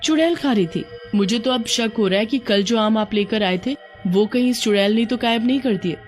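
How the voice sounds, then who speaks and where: A woman's voice: on the high side, normal speed, darkish tone, faint music behind, pitched above the performer's own voice, lightly acted. A woman playing a concerned, practical village wife in a dramatized cartoon story. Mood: suspicious. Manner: questioning, domestic, dramatized.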